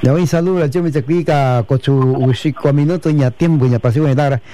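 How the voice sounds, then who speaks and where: A man's voice: on the high side, moderate pace. A man hosting a bilingual community radio show, sending long lists of cordial greetings to listeners and switching into Mixtec. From Mixteca region of Oaxaca, Mexico. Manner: warm repetitive greetings, speaks in Mixtec too. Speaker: radio host. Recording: radio broadcast.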